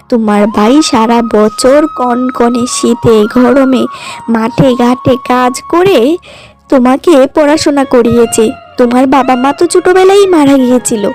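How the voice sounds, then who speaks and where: A woman's voice: high-pitched, a little mellow, lightly acted, poor audio. A woman voicing a righteous, indignant wife in a cartoon moral story, scolding with sarcasm. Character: indignant, righteous elder sister-in-law, devoted wife.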